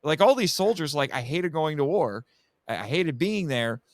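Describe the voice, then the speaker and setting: A man's voice: a little high, very animated, moderate pace, no echo. A man in a casual film discussion, speaking emphatically and with strong opinions, often repeating and rephrasing his points. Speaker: podcast guest, film commentator.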